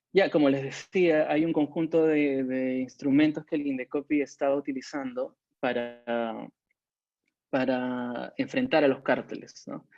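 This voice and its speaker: A man presents in a mellow, relaxed voice of middling pitch. He talks in an informal lecturing way, halting and pausing often. The sound is dry and a bit dull, like compressed webinar audio. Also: no echo. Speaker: webinar presenter, competition agency adviser. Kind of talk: informal expert lecture with slides. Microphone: video call microphone.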